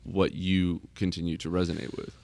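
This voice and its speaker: A man in casual podcast conversation, earnest and reassuring, often halting as he thinks aloud. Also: low voice, flat delivery, vocal fry, darkish tone.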